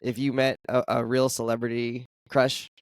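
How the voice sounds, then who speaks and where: Hoarse forty-year-old man, dry sound. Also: medium pitch, level tone, normal speed, no room sound. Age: 40s. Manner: laughs often, loose and joking. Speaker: podcast host. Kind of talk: casual chat.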